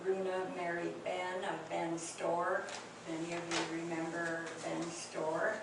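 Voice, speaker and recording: An older woman recalls family memories at an unhurried pace, stopping often to search for words. Her voice is on the low side for a woman. She sounds far from the mic in a very echoey room. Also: little pitch movement, gravelly, noisy background. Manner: hesitant, many fillers, gentle laughs. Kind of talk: reminiscing oral history talk.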